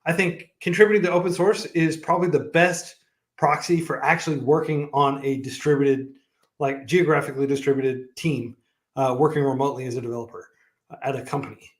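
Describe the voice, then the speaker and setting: A man's voice: medium pitch, fairly even intonation, brisk. A man talking casually as a guest on a livestream, chatty and full of fillers and restarts. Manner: chatty, many fillers and restarts, laughs often. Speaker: founder of a coding nonprofit, guest. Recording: echoey room.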